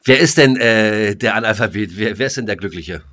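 A man with a fairly low voice banters as a podcast co-host. He keeps it casual, dropping in short remarks with a dry, sarcastic edge. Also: brisk, bright, forceful tone.

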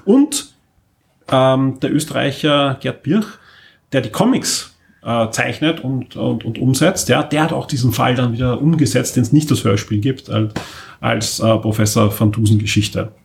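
A man's voice: medium pitch, a little slow, vocal fry. A man co-hosting a podcast in casual chat, wordy and enthusiastic, piling up emphasis in long monologues. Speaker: podcast co-host.

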